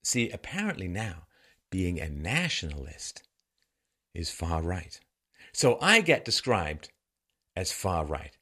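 A man's voice: sits low, big pitch swings, slow pace, pauses often, bright, forceful tone, husky. A man from Ireland and England delivering an opinion monologue in a sarcastic, rhetorical manner with theatrical emphasis. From born in Ireland, grew up in England. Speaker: host of a political commentary show.

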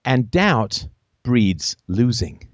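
A man hosting a call-in show, talking in a lively, emphatic way and repeating phrases for effect. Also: medium pitch, extremely slow, dry recording, studio quality.

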